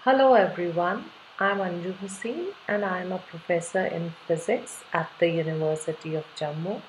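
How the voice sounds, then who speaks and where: Low-voiced woman, measured self-introduction. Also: slight background noise, rough recording. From India. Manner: measured, listing career history. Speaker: physics professor. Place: home room.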